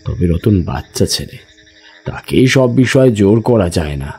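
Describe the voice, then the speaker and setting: A man's voice: fairly low pitch, animated, moderate pace, darkish tone, faint music behind, natural voice. A man acting an uneasy village schoolmaster, a newcomer probing earnestly for answers in an audio horror story.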